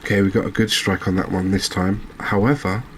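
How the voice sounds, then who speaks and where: A man giving soft-spoken, unhurried ASMR commentary as he plays a game, musing aloud to his viewers. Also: low-pitched, steady tone, slight background noise. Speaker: game streamer, host.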